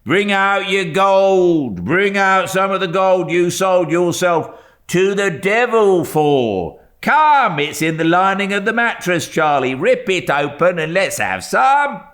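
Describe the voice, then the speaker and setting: A man's voice: sits high, animated, takes it slowly, fairly bright tone, voice pushed up, full character voice. A man voicing a cheeky street boy in an audiobook, calling out taunts in a colloquial, goading way. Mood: taunting.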